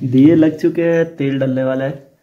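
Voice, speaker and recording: A young man chatting excitedly to viewers while camping on a mountain meadow, filmed on an action camera. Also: mid-range voice, normal speed, dull audio. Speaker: travel vlogger. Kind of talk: casual camping vlog. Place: outdoors on a mountain meadow by a campfire.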